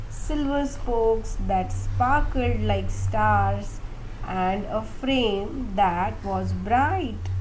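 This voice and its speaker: Woman storyteller for young kids. Very slow, deliberate read, mid-pitched, with clear background noise. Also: a little mellow. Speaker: storyteller on a kids' story show. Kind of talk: children's story read-aloud. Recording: clearly noisy room background.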